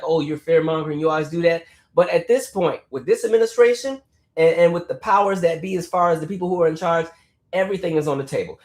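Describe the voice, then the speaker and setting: A young adult man talks quickly and emphatically in a slightly high voice. He often repeats words and restarts as he riffs through casual commentary. The room around him sounds roomy and echoey. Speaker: political commentary host.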